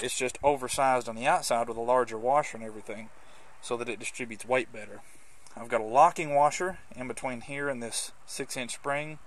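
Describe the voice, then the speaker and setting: A man giving a casual, hands-on walkthrough as a video host, with somewhat halting, hesitant phrasing. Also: medium pitch. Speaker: host of a how-to video on CB radio installation. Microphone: handheld camera's built-in microphone. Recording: clicky handheld camera audio, background noise.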